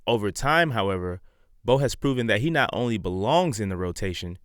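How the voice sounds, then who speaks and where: A young man reading a scripted sports feature voice-over as student reporter, in a measured, dramatic delivery. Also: lowish voice, expressive, dry recording, studio quality. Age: young adult.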